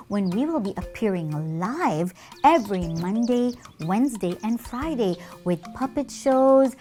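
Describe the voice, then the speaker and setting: Female presenter, fairly high and very animated, scripted promo read over music. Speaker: religious lecturer and puppeteer presenter.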